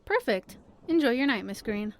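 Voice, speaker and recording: An actress playing a polite, cheerful security officer at a front desk in an audio drama. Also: female voice, fairly high pitch, sing-song, normal speed, a little hiss or hum. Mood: polite, cheery.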